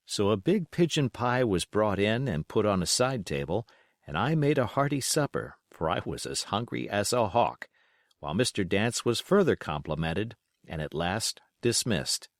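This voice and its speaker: Animated male audiobook narrator, dry sound. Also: on the low side, moderate pace, dry recording. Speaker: audiobook reader. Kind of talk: expressive scripted storybook narration.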